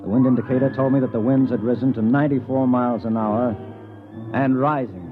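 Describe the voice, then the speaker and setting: An actor in a 1950s radio drama playing a brusque, arrogant space explorer, hard-boiled and edgy. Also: male voice, medium pitch, fairly even intonation, brisk, music in the background, natural voice. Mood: grave narration. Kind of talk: 1950s radio drama acting with first-person narration. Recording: narrow, tinny, noisy 1950s radio recording.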